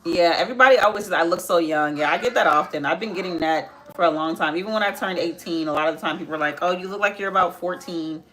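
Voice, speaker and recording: Brief: twenties woman, live makeup stream host, low bright voice, chatty, faint room hiss. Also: quick pace, few pauses, poor audio. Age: 20s. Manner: chatty, answers viewer comments while working, repeats phrases. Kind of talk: casual live makeup tutorial, chatting with viewers.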